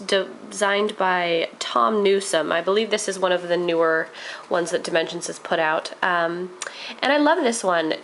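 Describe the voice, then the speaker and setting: A woman's voice: medium pitch, a bit bright, slight background noise. Chatty woman, a bit nasal from a cold. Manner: chatty, enthusiastic, with frequent ums. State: getting over a cold, slightly nasal. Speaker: crafting vlogger. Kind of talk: casual show-and-tell vlog.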